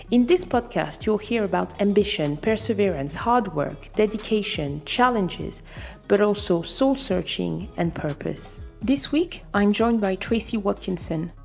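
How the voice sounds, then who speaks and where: A woman hosting an interview podcast, presenting in a measured, clear way. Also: mid-range voice, darkish tone. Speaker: podcast host.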